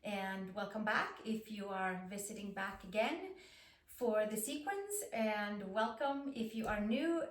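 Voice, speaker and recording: A woman with a Swedish accent gently guiding a yoga class, calm and soothing as she talks students through breathing. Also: medium pitch, a little slow. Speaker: yoga instructor. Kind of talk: guided yoga class instruction. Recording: roomy echo, distant mic.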